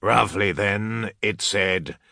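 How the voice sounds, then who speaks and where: Male reader as solemn male translator, sci-fi audiobook. Halting, precise, very slow, bright. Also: on the low side, vocal fry, dry recording, clean studio sound, full character voice. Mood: grave, careful. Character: grave, careful male scholar translating cryptic chants.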